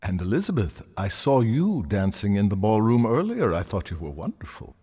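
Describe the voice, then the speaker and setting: Awkward coaxing gentleman, husky voice, lively intonation, polite, hesitant, long hall reverb, TV drama. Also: fairly low pitch, normal speed, heavily acted. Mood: gently coaxing. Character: awkward, gently coaxing man humouring a young lady. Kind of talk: TV drama acting.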